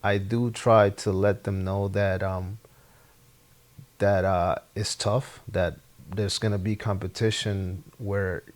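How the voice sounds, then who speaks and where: A young man with a Spanish accent, speaking English as a second language as a guest in a relaxed interview, halting and repeating his words. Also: lowish voice. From Honduras. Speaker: podcast guest, former soccer player. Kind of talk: casual interview chat.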